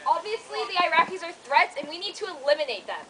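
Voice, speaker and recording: A man's voice: very high voice, sing-song, fast, pushed and bright, heavily acted. A young man acting an earnest, declamatory soldier in an amateur history skit, recorded outdoors on a distant camera. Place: outdoors in suburban yards. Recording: noisy amateur outdoor camcorder sound.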